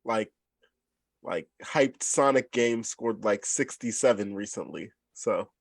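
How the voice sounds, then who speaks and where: A man's voice: on the low side, expressive, slow, gravelly, no room sound, studio quality. A man co-hosting a podcast in casual group banter, repeating phrases for emphasis. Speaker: podcast co-host.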